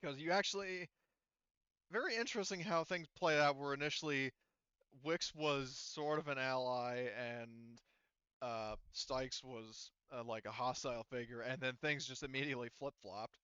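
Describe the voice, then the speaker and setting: A man's voice: mid-range voice, normal speed, pushed and bright, clear, resonant tone, no room sound. A man acting as game master, talking casually through a tabletop roleplaying session and swearing now and then. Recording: slightly dull, dry close-up audio.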